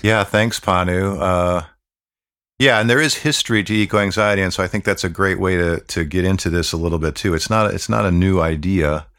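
A man hosts a reflective podcast in a calm, measured way. He often pauses with fillers and lets phrases draw out. His voice sits extremely low. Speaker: podcast host.

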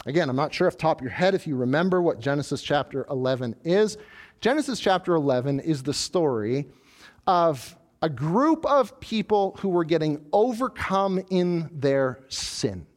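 Lively, animated male pastor preaching. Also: fairly high pitch, normal speed. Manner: animated preaching, voicing the proud tower builders. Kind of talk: sermon.

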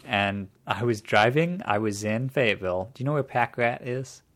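A young man hosting a casual podcast chat, telling stories in a relaxed way and laughing easily. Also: medium pitch, moderate pace. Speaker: podcast host.

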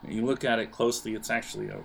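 A man acting as game master, narrating an improvised role-playing game in a casual, halting way. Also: lowish voice, fairly even intonation, vocal fry, slight background noise.

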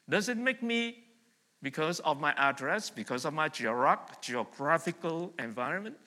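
A man's voice: a little high, sharp tone. A middle-aged man from Vietnam preaching a sermon to a congregation, talking in a conversational way and putting questions to the listeners. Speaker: pastor. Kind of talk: sermon with congregation call-and-response. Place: church hall. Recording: long reverb of a church hall.

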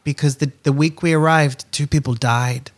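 A man chatting casually, talkative and animated, often breaking into laughter as he tells stories. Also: a little high, normal speed, a crowd in the background. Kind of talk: casual chat.

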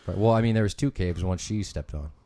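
A man's voice: deep voice, mellow. A man co-hosting a podcast who banters and makes puns in casual chat. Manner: jokey, punning, quick banter. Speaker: podcast co-host.

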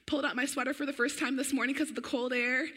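A woman pastor preaching a sermon in an energetic, conversational way, her voice a little weak and often clearing her throat. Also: sits high, fast.

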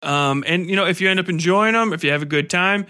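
A man's voice: high-pitched, fast, bright, forceful tone, clear, resonant tone. A man hosting a podcast in a casual, informal, slangy way. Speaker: podcast host interviewing an author.

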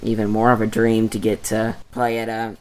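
A man's voice: on the low side, completely flat delivery, moderate pace. A teenage young man, chatting casually and a little hesitantly as an interview guest. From East Tennessee, United States. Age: teenager, about 14. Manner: hesitant, informal, youthful phrasing. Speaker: interview guest, young bluegrass mandolin player.

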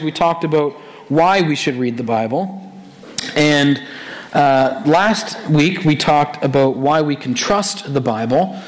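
Hoarse man preaching a sermon. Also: on the high side, poor audio. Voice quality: raspy. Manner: conversational, animated with many restarts. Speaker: pastor.

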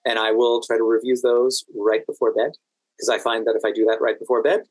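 Male guest, slightly low voice, careful explanatory delivery, mid-sentence pauses, very little room sound. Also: no echo, rough recording. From United States, Washington DC area. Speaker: podcast guest, standardized patient and exam event administrator. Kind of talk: interview answers, explanatory.

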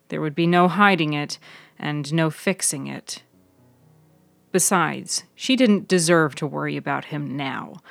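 A woman reading scripted literary narration in a measured, deliberate manner, as narrator of a serial fiction podcast. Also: sits low, vocal fry, no echo.

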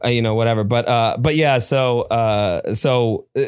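A man's voice: medium pitch, normal speed, darkish tone, smooth, no room sound, clean studio sound. A man whose first language is Spanish, chatting casually as a podcast co-host and rambling with many restarts and fillers. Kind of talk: casual chat.

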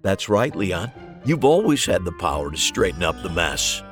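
A man's voice: sits low, big pitch swings, moderate pace, soft background music, pitched below the performer's own voice. A man voicing a patient, reassuring magician in a story, coaxing with simple leading questions. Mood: gently encouraging. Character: reassuring magician coaxing someone gently through a trick. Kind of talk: audiobook dialogue.

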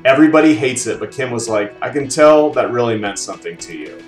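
A man's voice: medium pitch, animated, quick pace. A man co-hosting a podcast, telling stories about video games in casual conversation. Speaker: podcast co-host. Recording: echoey room, faint music behind.

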